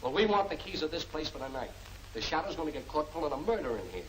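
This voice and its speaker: A man's voice: a little high, lively intonation, quick pace, a bit bright. An actor playing a cocky gangster henchman in an old film serial, with slangy, chummy tough talk that carries menace. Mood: coaxing, menacing. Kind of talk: 1940s film serial acting. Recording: noisy old film soundtrack.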